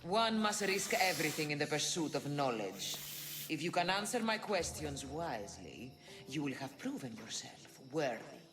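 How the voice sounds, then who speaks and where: An actress playing a proud female monster who guards ancient power and poses riddles in a theatrical, deliberate way for a video game. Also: sits low, big pitch swings. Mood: imperious. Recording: music behind the voice.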